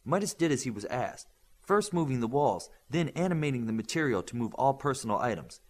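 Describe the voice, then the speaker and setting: A man's voice: mid-range voice, big pitch swings, brisk. A man reading a scripted story aloud as an audiobook narrator.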